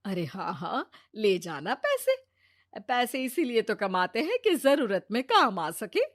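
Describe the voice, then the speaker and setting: Woman playing a slow, lively elderly mother-in-law. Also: on the high side, exaggerated voice. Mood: agreeable, generous. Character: kindly elderly mother-in-law, curious and secretly mischievous. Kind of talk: animated moral story dialogue.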